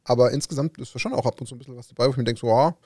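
Fast-talking podcast host man. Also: mid-range voice, darkish tone, no room sound, studio quality. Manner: quick, long winding questions with fillers. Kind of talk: casual conversation.

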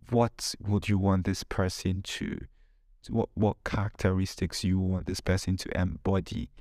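Low-voiced man, emphatic podcast host. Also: a little mellow, no room sound, studio quality. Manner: emphatic, repeats phrases, rhetorical questions. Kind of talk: informal advice monologue with humour.

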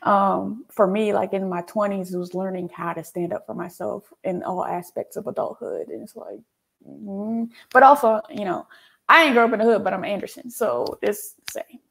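A woman's voice: mid-range voice, normal speed, vocal fry, a bit bright. A woman who hosts her own video interviews as an animator, speaking casually with slang and laughing easily. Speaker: host, animator.

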